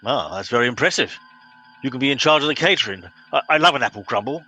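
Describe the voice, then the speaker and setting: A man playing a pompous, bossy middle-aged captain in an amateur comedy radio play, brisk and officious. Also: medium pitch, animated, pushed and bright, soft background music.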